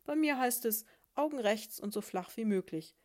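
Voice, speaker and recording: A woman's voice: fairly high pitch, animated, moderate pace, pitched above the performer's own voice, close to an everyday voice. A woman voicing a smug, sneering female flounder in a fairy tale. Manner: sneering, self-satisfied.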